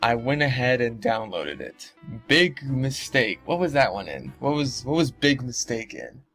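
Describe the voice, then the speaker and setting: A man's voice: mid-range voice, very animated, a little slow, fairly bright tone. Sarcastic man reading aloud over music. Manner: sarcastic, incredulous, profane. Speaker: host reading and mocking internet horror stories.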